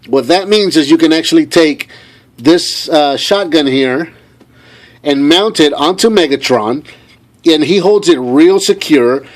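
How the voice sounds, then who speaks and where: Male toy review host, higher-pitched voice, enthusiastic and emphatic, speaking off the cuff. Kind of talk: unscripted video toy review.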